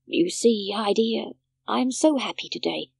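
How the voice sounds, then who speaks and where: A woman voicing a gentle, contented old grandfather in a storybook, speaking slowly and calmly. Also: medium pitch, creaky, husky, no echo.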